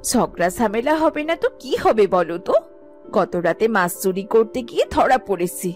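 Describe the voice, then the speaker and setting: A woman's voice: mid-range voice, lively intonation, moderate pace, darkish tone, lightly acted. A woman playing an unhappy, aggrieved village co-wife in a cartoon story, complaining and downcast. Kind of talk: scripted cartoon story acting. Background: music.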